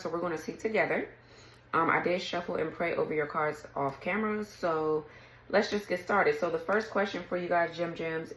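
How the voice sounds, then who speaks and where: A woman with a raspy morning voice, giving a casual tarot reading to camera, chatty and often clearing her throat. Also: fairly low pitch, fairly bright tone, roomy sound, poor audio. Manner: chatty, clears throat often, reads card texts aloud. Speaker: tarot reader.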